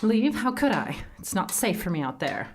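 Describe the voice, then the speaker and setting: A woman's voice: medium pitch, big pitch swings, moderate pace, slight background noise. A woman voicing a nervous, earnest academic in a live-staged radio drama. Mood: anxious. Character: anxious Canadian academic, Miss Prestoon.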